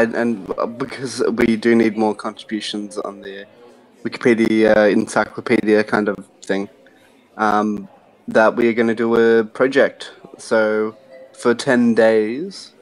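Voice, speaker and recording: A man with a fairly low voice chats casually on a live stream. His manner is easygoing and joking. He fills his pauses with "um"s as he talks. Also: level tone, poor audio. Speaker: community team member.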